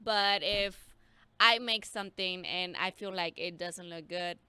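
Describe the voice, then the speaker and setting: A woman's voice: fairly low pitch, a little slow, pushed and bright, clean tone, dry recording. A woman in her twenties from Mexico with a Mexican accent, chatting casually as a podcast guest and laughing easily. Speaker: guest, teacher.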